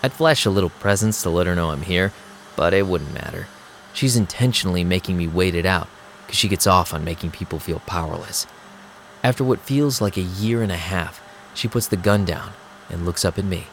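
An actor playing a young vampire DJ who narrates an audio drama in a casual, streetwise and sarcastic way. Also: male voice, sits low, fairly quick, fairly bright tone, slight background noise. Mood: uneasy, brooding. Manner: casual, wry. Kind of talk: first-person audio drama narration and dialogue. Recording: music behind the voice.

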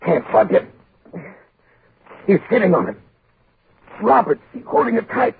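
An actor playing a cowardly, guilty newspaper publisher, blurting agitated broken phrases in 1940s radio horror style. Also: male voice, high voice, very animated, measured pace, gravelly, full character voice. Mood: suffocating panic. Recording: noisy narrow 1940s radio recording.

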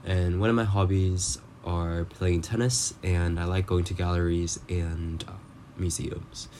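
A man of twenty introducing himself casually, hesitant and pausing with many ums. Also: sits low, flat delivery, a little mellow, slight background noise. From South Korea, raised abroad. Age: 20s. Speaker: student guest.

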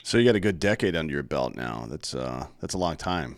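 A man with a low-pitched voice hosts a podcast interview, asking questions in an easygoing conversational style. The sound is close and dry, with very little room around it. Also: big pitch swings, a little fast, dry recording. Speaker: podcast host.

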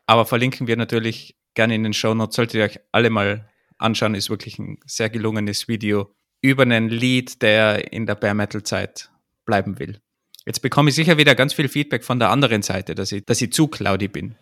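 A man's voice: lowish voice, fairly even intonation, fairly quick, fairly bright tone. A man from Austria with an Austrian accent, co-hosting a podcast in a wry, self-deprecating way. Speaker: podcast co-host. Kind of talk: casual podcast chat.

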